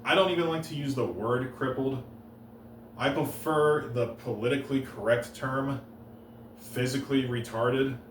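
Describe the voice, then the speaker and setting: A man doing deadpan one-liner stand-up comedy on a stage, halting and restarting between jokes. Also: mid-range voice, slight background noise. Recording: roomy live room, heard from a distance.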